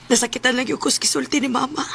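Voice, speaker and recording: Tender Cebuano radio-drama wife, noisy track. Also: female voice, sits high, steady tone, fast talker, creaky, fairly bright tone. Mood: hurt. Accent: Cebuano Filipino. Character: Martha, tender working wife and mother, quietly torn.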